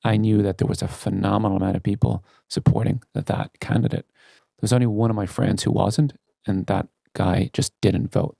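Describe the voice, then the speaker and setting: Gravelly, mellow man speaking earnestly. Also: lowish voice, steady tone, moderate pace, dry recording. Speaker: tech company CEO, interview guest. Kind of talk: candid podcast interview conversation.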